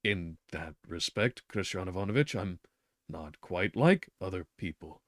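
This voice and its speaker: Man voicing a clerk, male, timid, stammering, subdued, deep, dry close sound. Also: animated, measured pace, dry recording. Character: timid awkward male clerk, middle-aged. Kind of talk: reading a novel aloud.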